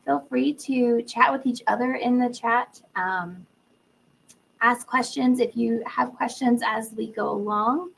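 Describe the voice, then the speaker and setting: Gravelly, husky woman with a cold. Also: on the high side, low-quality recording. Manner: upbeat, greets arrivals by name. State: losing her voice, sore throat and cold. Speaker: webinar host, literacy educator. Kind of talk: casual live webinar welcome. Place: indoor room.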